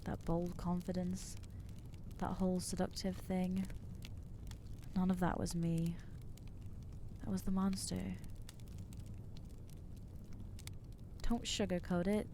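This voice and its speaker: A woman voicing an anxious, guilt-ridden new vampire in an ASMR roleplay, halting and self-doubting, with wry exasperation. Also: lowish voice, level tone, dark tone, a little hiss or hum. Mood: anxious, guilty. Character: anxious newly turned female vampire, guilty and overwhelmed. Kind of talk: ASMR roleplay acting to the listener.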